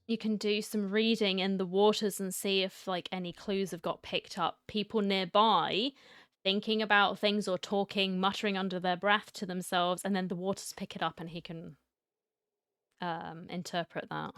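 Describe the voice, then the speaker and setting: A woman's voice: mid-range voice, steady tone, normal speed, fairly bright tone, smooth, dry recording, studio quality. A woman hosting a gaming video, reacting casually and musing aloud in an informal way. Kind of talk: casual game reaction commentary. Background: game music.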